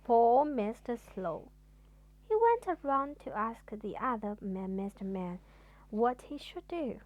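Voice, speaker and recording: A woman's voice: fairly high pitch, big pitch swings, slow pace, a little mellow, dry recording, rough recording. A woman reads a children's storybook expressively, like a mother at bedtime, drawing out words for theatrical emphasis. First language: Chinese.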